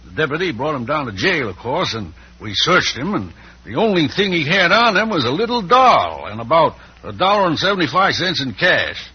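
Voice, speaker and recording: An actor playing a chatty small-town sheriff with a folksy Western accent and husky voice in an old-time radio show. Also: male voice, fairly high pitch, sing-song, normal speed, full character voice. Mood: chatty, puzzled. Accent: American Western. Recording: muffled old radio recording.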